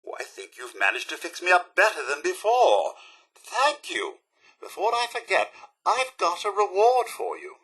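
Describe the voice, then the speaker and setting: A fussy, husky British robot butler. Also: male voice, high voice, wildly swooping pitch, normal speed, a bit bright, exaggerated voice. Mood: relieved, composed. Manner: fussy, melodramatic, formal wording. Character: anxious, polite robot butler, prone to panic. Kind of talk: video game character voice lines.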